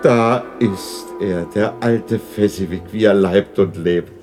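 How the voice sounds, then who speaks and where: Low-voiced old miser, softened by memories. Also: male voice, slow pace, over music. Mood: fond nostalgia. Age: older. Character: old miser Scrooge, remorseful, moved by memories. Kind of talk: 1960s German radio drama acting.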